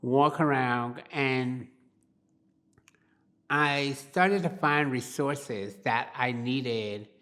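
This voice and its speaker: Slow-talking older woman. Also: very deep voice, fairly bright tone. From Barbados. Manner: slow, reflective, plain-spoken storytelling. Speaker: interviewee. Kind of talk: oral history interview.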